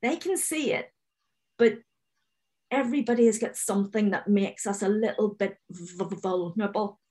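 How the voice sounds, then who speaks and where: A woman's voice: mid-range voice, moderate pace, a little mellow. A woman in her forties with a Northern Irish accent, speaking on a video call panel and stammering openly with repeated sounds, direct and confident. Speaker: webinar panellist, finance manager. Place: home room, indoors. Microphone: video call webcam.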